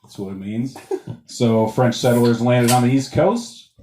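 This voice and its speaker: A man's voice: mid-range voice, steady tone, a little slow, warm tone, a little hiss or hum. A man from Montana doing a crude, self-mocking stand-up set, rambling with fillers. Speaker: stand-up comedian. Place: comedy club stage. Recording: roomy club sound, taken from a distance, audience laughter.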